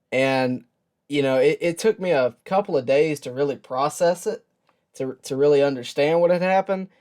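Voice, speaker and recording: Male sports host, medium pitch, soft-edged mellow voice; reflective, sincere tribute delivery with thoughtful pauses. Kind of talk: personal tribute talk to camera.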